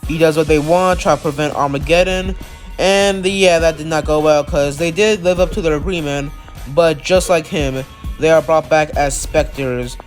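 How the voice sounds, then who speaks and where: A man with a slightly high voice recaps a video-game character's history for his channel. He has a cold and rambles, leaning on likes and uhs. Faint music sits underneath his voice. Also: steady tone, moderate pace. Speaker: channel host.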